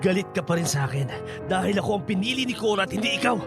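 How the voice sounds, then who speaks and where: A man's voice: sits high, animated, very fast. A Filipino man acting as an outraged, protective middle-aged father, confrontational and accusing, in a radio drama. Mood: bitter rage. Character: outraged, protective father betrayed by his friend. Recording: dramatic music bed underneath.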